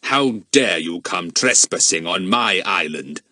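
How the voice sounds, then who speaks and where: A man's voice: deep voice, expressive, bright, forceful tone, no room sound, studio quality, full character voice. Man acting a fierce, menacing bully villain. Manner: slow, threatening, hissing delivery. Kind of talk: audiobook character voice.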